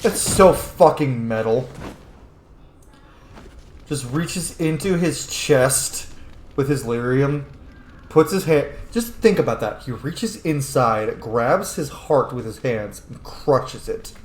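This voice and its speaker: A male gamer gives casual running commentary, blunt and sweary. His mid-pitched voice sounds roomy, with faint noise behind it. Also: animated, slow pace. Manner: blunt, profane. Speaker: gamer hosting a playthrough.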